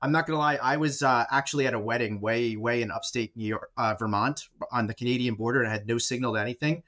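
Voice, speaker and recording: A man's voice: medium pitch, fast talker, a bit bright. A man hosting a podcast in a casual, informal and jokey manner. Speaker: podcast host.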